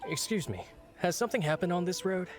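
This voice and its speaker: A man's voice: high voice, expressive, quick pace, loud music behind the voice. A man voicing a loyal young male retainer in a video game, polite, earnest and a little anxious. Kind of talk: game voice acting.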